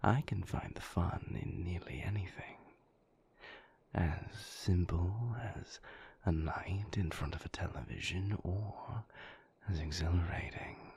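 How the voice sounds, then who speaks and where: Guy doing a broody vampire boyfriend for audio rp, super slow, creaky low murmur, kinda formal n dismissive, breathy. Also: expressive, a little mellow, no echo, heavily acted. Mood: suave, teasing. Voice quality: breathy, creaky near-whisper. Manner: languid, formal, measured phrasing. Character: moody, suave male vampire boyfriend, guarded and dismissive. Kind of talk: intimate audio roleplay, close whispered acting.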